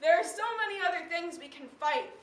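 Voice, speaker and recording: High, bright teenage girl performing slam poetry. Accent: American. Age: teen. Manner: impassioned, emphatic, declamatory with deliberate pauses. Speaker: slam poet. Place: live event in a room. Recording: roomy, distant mic, faint background noise.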